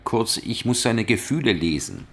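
An actor playing a cool, observant private investigator, narrating and speaking in a plain, understated way in a radio drama. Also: male voice, lowish voice, expressive, normal speed.

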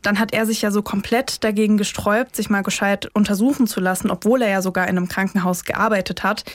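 A woman's voice: medium pitch, fairly even intonation, fast, no echo. A woman co-hosting a true-crime podcast in a casual, colloquial conversation. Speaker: podcast co-host.

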